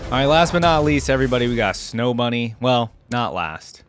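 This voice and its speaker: Guy doing a laid-out-loud game reaction, rambly and casual, mid pitch, faint music behind. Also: lively intonation, brisk. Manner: rambling, thinking aloud. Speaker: reaction video host.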